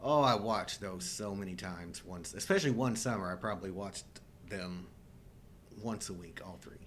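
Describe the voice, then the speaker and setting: A man's voice: deep voice, normal speed, fairly bright tone, slight background noise. A man of about fifty co-hosting a casual film chat, joking and poking fun at himself. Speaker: co-host of a live show.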